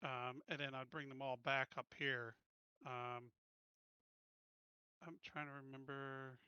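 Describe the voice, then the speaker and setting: A man's voice: mid-range voice, little pitch movement, slow pace, bright, forceful tone, clean tone, no echo, highs cut off. A man talking casually as a player in a tabletop roleplaying game.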